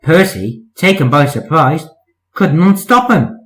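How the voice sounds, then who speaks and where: A man's voice: on the high side, sing-song, measured pace, faint music behind. A man narrating a scripted children's story as a storyteller.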